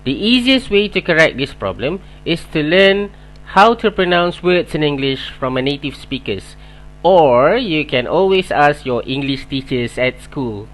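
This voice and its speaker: A man's voice: a little high, animated, measured pace, a bit bright, poor audio. A man with a Malaysian accent, a Malay speaker and English teacher, reading a prepared lesson in an earnest way. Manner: earnest, reads prepared points in order. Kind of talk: scripted video lesson.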